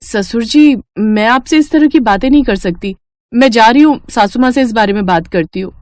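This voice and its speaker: A naive young bride, earnest and flustered, played by a woman for a cartoon. Her voice is somewhat high for a woman and recorded dry and close. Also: moderate pace, darkish tone, no room sound, lightly acted. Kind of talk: cartoon dubbing.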